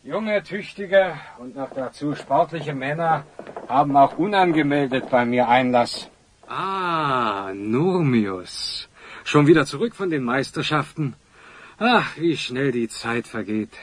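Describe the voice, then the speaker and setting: A man playing a pompous, florid older wealthy magnate with a husky voice in a children's audio drama. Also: medium pitch, animated, takes it slowly. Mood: jovial.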